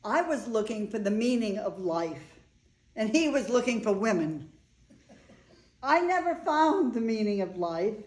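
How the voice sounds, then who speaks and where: A woman's voice: fairly high pitch, big pitch swings, unhurried, a little mellow. A woman reading the part of an older bride in a staged play, wry and fondly reminiscing. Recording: roomy, echoing live room recording.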